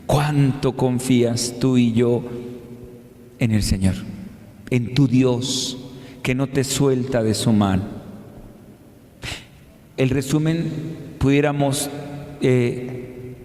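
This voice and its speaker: Mexican male priest, 50s, for a homily: slow, conversational delivery with weighty pauses, recorded in a very reverberant church. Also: medium pitch, warm tone. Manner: conversational, rhetorical questions, deliberate pauses. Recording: echoey hall with long reverb.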